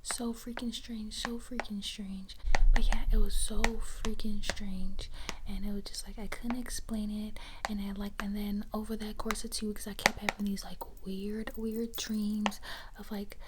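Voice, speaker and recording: A woman telling a casual story in a breathy ASMR whisper, repeating phrases for effect. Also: medium pitch, level tone, unhurried. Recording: lo-fi, loud noise, clicks.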